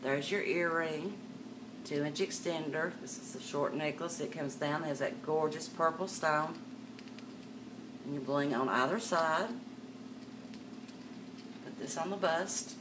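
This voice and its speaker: Older woman host, low voice, chatty live-sale patter, webcam a bit far off with room noise. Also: measured pace, pauses often. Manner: chatty, calls viewers darling and miss. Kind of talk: live sales stream, chatting to viewers. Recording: distant mic with background noise.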